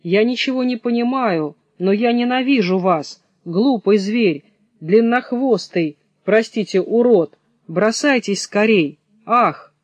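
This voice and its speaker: A man's voice: high-pitched, takes it slowly, no room sound, highs cut off, pitched above the performer's own voice. A man voicing a timid but brave young hare, polite and agitated as he speaks. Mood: indignant, agitated. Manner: polite, agitated, apologizing even while insulting.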